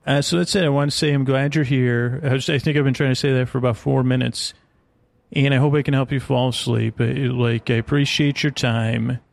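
Male sleep podcast host, gravelly fry, close dry sound, meandering and self-interrupting bedtime rambler. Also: mid-range voice, steady tone, fairly quick, no room sound. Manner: meandering, hesitant, self-interrupting, trailing off into tangents.

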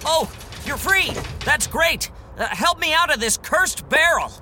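A man's voice: extremely high pitch, lively intonation, a little slow, sharp tone, slight background noise, full character voice. An actor playing a timid, nebbish male reporter, meek and defensive, in a 1930s radio serial. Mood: flustered. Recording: music behind the voice.

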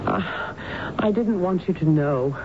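An actress playing a troubled, affectionate wife in a 1970s radio drama, with a genteel, halting delivery. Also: female voice, on the low side, expressive. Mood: shaken, hesitant. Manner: halting, soft-hearted, genteel. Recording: noisy, dull vintage radio broadcast.

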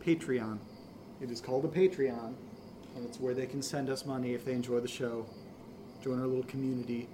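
A man playing an earnest, exasperated amateur podcaster in an audio drama, pleading haltingly and insistently. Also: medium pitch, steady tone, close to an everyday voice. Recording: noticeable ambient background noise.

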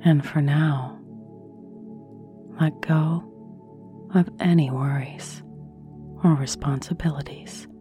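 Very slow, soothing woman guiding sleep meditation. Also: deep voice, steady tone, warm tone, soft background music. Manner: breathy, near-whispered phrase endings, long pauses. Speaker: guided meditation narrator.

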